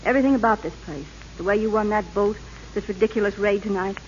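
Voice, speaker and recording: An actress playing a gambler's worried, reproachful sweetheart in an old radio drama, pleading and sardonic. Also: female voice, mid-range voice, quick pace, a little mellow, close to an everyday voice. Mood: bitter, sardonic. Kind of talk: 1930s-40s radio drama acting. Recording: muffled old radio, faint hiss.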